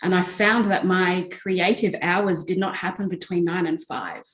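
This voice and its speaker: A woman's voice: lowish voice, steady tone, measured pace, reverberant. A woman guest on a video call, an entrepreneurship facilitator, talking steadily with frequent fillers. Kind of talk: guest telling her story in an interview.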